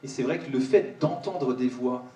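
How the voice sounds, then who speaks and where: A man speaking off the cuff at a live panel, halting and repeating his words as he goes. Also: medium pitch, dark tone. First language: French. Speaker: host of a panel discussion. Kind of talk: spontaneous spoken remarks at a live panel. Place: large cinema auditorium. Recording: echoey hall, distant microphone.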